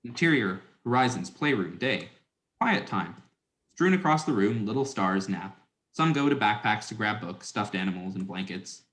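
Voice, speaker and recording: A man's voice: on the low side, lively intonation, normal speed, a bit bright. A young adult man reading scripted stage directions as a narrator. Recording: echoey, reverberant room.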